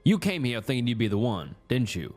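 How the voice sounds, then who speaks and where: A man voicing a masked cult leader in a horror story, with a deep Southern US accent, speaking in a level, almost bored, quietly malicious way. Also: big pitch swings, brisk, lightly acted. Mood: taunting. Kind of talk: horror audio story dialogue. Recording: music under the voice.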